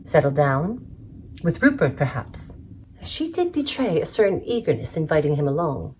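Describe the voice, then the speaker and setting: A woman's voice: low voice, sing-song, moderate pace, darkish tone, a little hiss or hum, low-quality recording. A woman playing a nosy, tart younger sister in a dramatized novel reading, with an arch, inquisitive manner. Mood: arch, suspicious.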